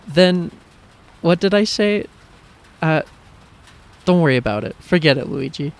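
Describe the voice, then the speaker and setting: A man voicing an earnest, awkward young woman in love for a fan fiction story, speaking in halting, emotional phrases. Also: fairly high pitch, animated, voice pushed up. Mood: awkward, dismissive.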